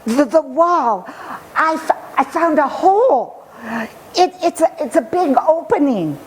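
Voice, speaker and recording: A woman voicing an excited, curious young boy in a storybook, speaking in breathless spurts. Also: high-pitched, a little slow, slight background noise, pitched above the performer's own voice.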